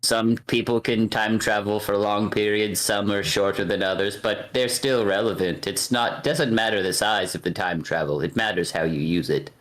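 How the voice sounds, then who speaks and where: A man with a fairly low voice plays a comedy tabletop game. He improvises in character casually, with a dry, mock-serious delivery. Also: normal speed, hardly any pauses, fairly bright tone, low-quality recording.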